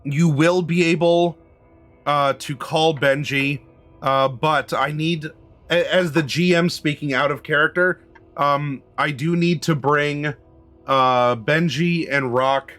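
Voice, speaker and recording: A man runs a tabletop game in a fairly high, slightly squeaky voice. He improvises his narration haltingly, feeling his way through phrases and often clearing his throat, with music underneath. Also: fairly bright tone. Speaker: game master.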